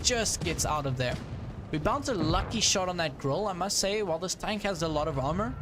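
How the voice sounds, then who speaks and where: This is a young man with a South African accent, his voice sitting slightly high. He chats loosely with his viewers while playing, thinking out loud and restarting his sentences. A faint background noise hums under the recording. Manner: casual, thinks aloud, many ums and restarts. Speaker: streamer. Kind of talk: live gameplay commentary, chatting with viewers.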